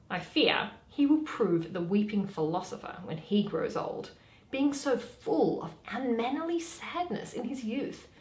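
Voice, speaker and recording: A woman playing a witty, weary young noblewoman in a dramatic, deliberate reading of Shakespeare. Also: high-pitched, heavily acted. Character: Portia, witty weary young noblewoman, mocking her suitors. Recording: echoey room.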